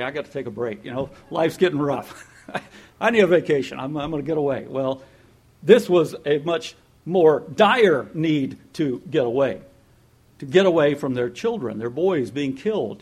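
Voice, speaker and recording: A man preaching a sermon in a lively, conversational way, often laughing and acting out what people might say. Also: a little high, normal speed, breathy edge. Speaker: pastor.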